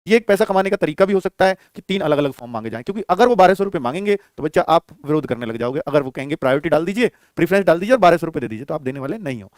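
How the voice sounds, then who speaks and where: A man's voice: on the high side, lively intonation, very fast, few pauses, dry recording, studio quality. A man teaching exam students, explaining in a familiar way and often answering his own questions. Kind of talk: lesson on job vacancies addressed to students.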